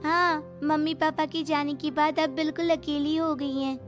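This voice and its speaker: A woman's voice: sits high, little pitch movement, normal speed, soft background music, natural voice. A woman voicing a devoted young adult daughter in a cartoon, earnest and eager. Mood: caring, earnest.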